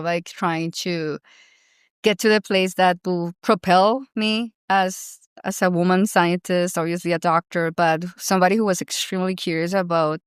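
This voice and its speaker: A woman's voice: fairly low pitch, smooth, dry recording, clean studio sound. A woman in her thirties with a Colombian accent, a doctor and founder chatting as a podcast guest, filling her talk with "you know" and "like". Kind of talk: casual interview conversation.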